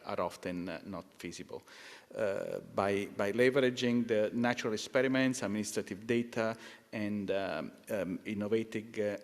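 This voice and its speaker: A man acting as host, giving a formal introduction of a guest speaker in a halting way, full of hesitations and restarts. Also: lowish voice. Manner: halting, many fillers and restarts, clears throat. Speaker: lecture host. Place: lecture hall. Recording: lecture hall with long reverb.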